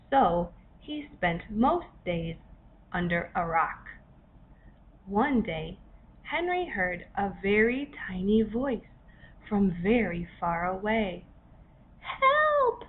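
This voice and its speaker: A woman reads a children's story slowly and expressively, pausing between short phrases for drama. Her voice is fairly high and swings widely in pitch. The recording is a little dull. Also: expressive. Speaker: author reading own story. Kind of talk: children's story narration for young learners. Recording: dull lo-fi recording, faint noise.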